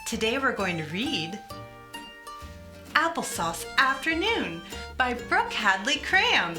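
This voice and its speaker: A woman's voice: on the high side, very animated, slow, music in the background. A woman co-hosting a children's story time, speaking in a cheerful, sing-song way. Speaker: co-host reading a picture book.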